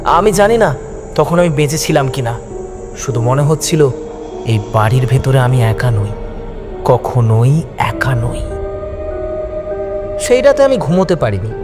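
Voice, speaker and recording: A man's voice: medium pitch, big pitch swings, measured pace, pauses often. Suspenseful male horror narrator over music. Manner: suspenseful, theatrical delivery. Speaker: audio story narrator. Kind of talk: dramatic first-person horror story narration. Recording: music behind the voice. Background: background music.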